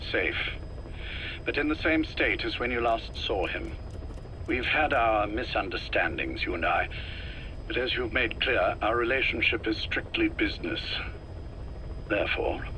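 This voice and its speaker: A slow, forceful, calculating older spymaster. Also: male voice, on the low side, lively intonation, slight background noise, low-quality recording. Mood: cool, controlled. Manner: measured, deliberate, politely menacing. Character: ailing, calculating older male intelligence chief, calm and controlling. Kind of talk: scripted game dialogue, dramatic acting.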